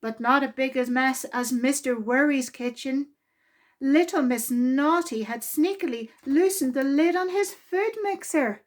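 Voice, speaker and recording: A woman reading a children's story aloud in an expressive, deliberate way, drawing out key words for emphasis. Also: sits high.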